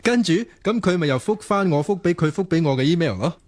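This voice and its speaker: An actor with a Hong Kong Cantonese accent playing a lovelorn, earnest young student programmer in radio drama dialogue, wry, sighing and self-mocking. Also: male voice, on the high side, expressive, fast talker, darkish tone.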